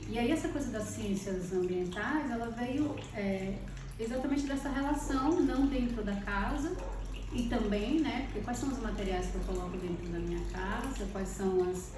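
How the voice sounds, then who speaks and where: A woman's voice: on the high side, normal speed. A Brazilian woman speaking reflectively and conversationally as an interview guest, searching for words as she goes. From Brazil, born in Salvador, lived in Teresina. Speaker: podcast guest, architect. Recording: very echoey, distant, noisy with running water. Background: water fountain trickling.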